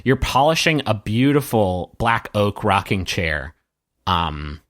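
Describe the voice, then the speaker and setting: A man working as game master, improvising tabletop game narration and addressing the player directly in a halting, searching way. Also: fairly low pitch, big pitch swings, fairly bright tone.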